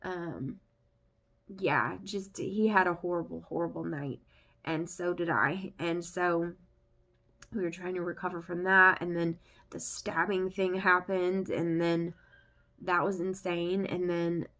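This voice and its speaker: A woman's voice: fairly low pitch, steady tone, slow, a bit bright. Young woman, sniffly flu voice, rambling casual chat, lots of fillers. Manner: chatty, rambling with many fillers. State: congested from flu. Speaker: family vlogger.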